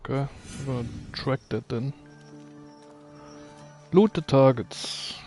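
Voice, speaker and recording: A man's voice: medium pitch, slow pace, a little mellow, faint music behind. A man doing casual, unscripted gameplay commentary, muttering and thinking aloud to himself.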